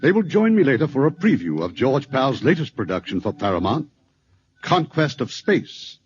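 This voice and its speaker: A man's voice: medium pitch, very animated, moderate pace. Genial 1950s radio show host, a man. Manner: genial, wry showman's patter. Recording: muffled 1950s radio broadcast with faint hiss.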